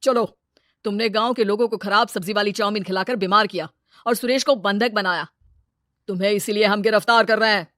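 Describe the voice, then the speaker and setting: A woman's voice: mid-range voice, fairly even intonation, a little fast, fairly bright tone, no room sound, studio quality, close to an everyday voice. A woman voicing a stern, official village policeman in a moral story. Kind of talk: animated moral-story character dialogue.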